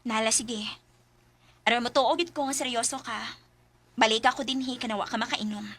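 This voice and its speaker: A woman's voice: fairly high pitch, steady tone, moderate pace, fairly bright tone. A firm, unimpressed young village woman. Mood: scolding. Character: young village woman being courted, no-nonsense. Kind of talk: radio drama acting.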